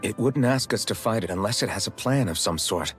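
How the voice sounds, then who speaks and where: A man's voice: on the low side, animated, fairly quick, soft background music, natural voice. A man playing a resolute young fantasy hero in a video game, serious and plain-spoken. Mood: serious, thoughtful. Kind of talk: scripted video game cutscene acting.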